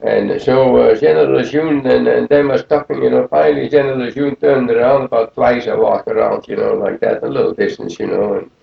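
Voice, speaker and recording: A man, recalling the past in a rambling, halting way full of fillers in an oral history interview. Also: mid-range voice, steady tone, creaky. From Netherlands. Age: 80s. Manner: rambling, halting, many fillers like "you see". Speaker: interviewee, war veteran. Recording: roomy lo-fi 1980 tape.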